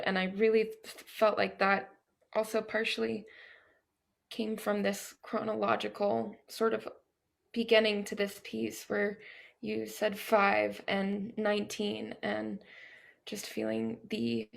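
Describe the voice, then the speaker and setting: Woman with an even, unhurried voice. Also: medium pitch, vocal fry. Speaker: host responding to a performer. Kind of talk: reflective post-show discussion.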